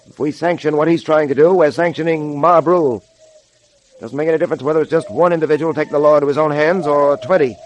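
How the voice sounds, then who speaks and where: An actor playing a resolute police detective hero, commanding and earnest, in 1930s radio drama style. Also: male voice, fairly high pitch, fast talker, natural voice. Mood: firm, earnest. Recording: faintly noisy 1930s radio recording.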